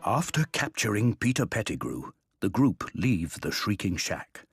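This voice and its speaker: A man's voice: fairly low pitch, big pitch swings, unhurried. A man reading scripted story narration for a video game. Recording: faint music behind the narration. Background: soft background music.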